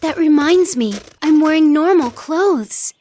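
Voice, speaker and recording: A woman's voice: high voice, animated, unhurried, darkish tone, slight background noise. A woman voicing an earnest, considerate and reserved teenage girl in an anime video game, polite and thoughtful. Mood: apologetic.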